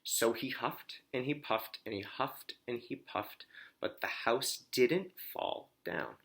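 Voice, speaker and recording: A man reading a children's storybook aloud like a teacher, expressively, pausing to explain and ask questions. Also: mid-range voice, a bit bright.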